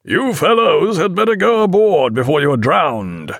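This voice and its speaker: A bold sea captain acted out by a male audiobook reader barks brisk orders in a raised, loud voice. The pitch climbs well up as he hails across the water, with clipped naval authority in a dry recording. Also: big pitch swings, husky, no echo, heavily acted. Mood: brusque. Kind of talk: audiobook character acting.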